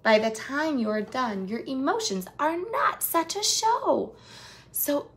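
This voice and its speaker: A woman reading a picture book aloud to children and chatting with young viewers as their host, encouraging them with direct questions and expressive, drawn-out phrasing. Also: high-pitched.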